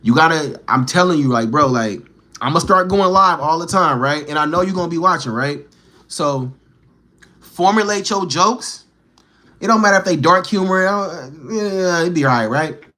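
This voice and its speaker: A man's voice: a little high, animated, moderate pace, sharp tone. A man livestreaming, bantering with and teasing his chat in slangy street talk, firing challenging questions.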